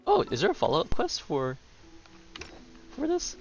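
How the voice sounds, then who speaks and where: A man's voice: high voice, wildly swooping pitch, moderate pace, gravelly, highs cut off. A man giving casual commentary while playing through a video game, talking off the cuff. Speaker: gaming video host. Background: game music.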